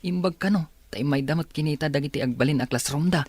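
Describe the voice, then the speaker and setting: A friendly young male student in a radio drama, acted by a man, speaks in a fairly high voice. He sounds eager and companionable. The sound is dry and close. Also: fast, darkish tone, no room sound, close to an everyday voice. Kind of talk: Ilocano radio drama acting.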